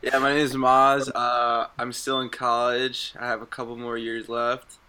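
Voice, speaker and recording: A man's voice: mid-range voice, monotone, unhurried, pushed and bright, clean tone. A man around twenty, a college student, chatting casually on a podcast in an easygoing, laid-back way. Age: 20s. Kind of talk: casual group chat.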